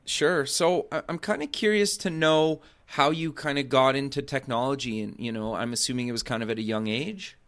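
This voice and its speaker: A man hosting an interview show in a relaxed, conversational way. He fills his questions with "kinda" and answers with short "sure"s and "okays.". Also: mid-range voice, normal speed, hardly any pauses. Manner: filler-heavy, leans on "kinda" and "sure". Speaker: podcast host.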